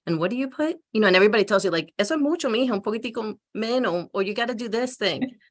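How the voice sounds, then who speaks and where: A woman panelist telling personal stories in a casual, animated, informal way. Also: fairly high pitch, racing.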